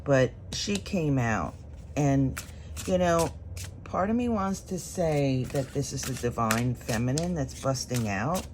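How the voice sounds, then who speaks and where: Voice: medium pitch, expressive, darkish tone, a little hiss or hum. A tarot reader giving a solo reading straight to the viewer, thinking aloud while drawing cards. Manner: unhurried, thinking aloud as cards are drawn.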